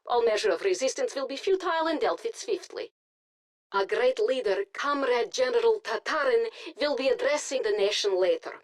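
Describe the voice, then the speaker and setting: An actress playing a composed, authoritative Soviet propaganda news anchor, reading formally in a video game broadcast. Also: female voice, on the high side, lively intonation, close to an everyday voice. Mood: official, firm. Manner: formal, measured delivery.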